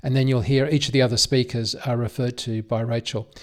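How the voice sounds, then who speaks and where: An Australian man hosting a podcast, setting up a recording of a live panel. He speaks casually and hesitantly, often reaching for words with fillers. His pitch sits in an everyday middle range. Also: level tone, fairly quick. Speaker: podcast host. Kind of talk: casual spoken introduction.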